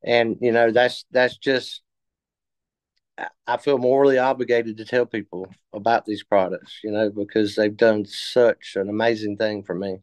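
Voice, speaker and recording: A man of fifty telling his story in a casual interview, halting with many fillers and restarts. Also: lowish voice, level tone, no echo, poor audio. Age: 50s. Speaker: interview guest.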